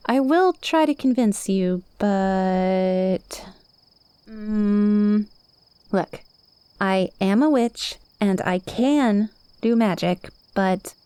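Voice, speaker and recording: A woman's voice: mid-range voice, warm tone, smooth, no room sound, lightly acted. A woman playing a cute, playful witch in an ASMR roleplay, speaking confidently and coaxingly straight to the listener. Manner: conversational, teasing, coaxing.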